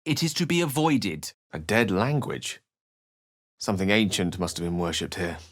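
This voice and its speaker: A man's voice: sits low, animated, normal speed, dry recording, studio quality. A man playing a refined, erudite wizard in a fantasy video game, speaking in an articulate, theatrical storyteller's manner. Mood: emphatic, declaiming, then musing, measured. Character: refined, erudite male human wizard, eloquent and wry.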